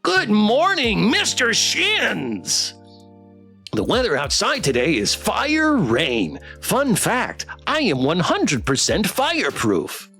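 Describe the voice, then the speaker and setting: A man's voice: sits high, huge pitch swings, slow pace, creaky, bright, forceful tone, faint music behind, pitched above the performer's own voice, full character voice. A man voicing a chirpy, needy robotic plush pet companion in a visual novel, with perky, sing-song delivery. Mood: perky cheerful.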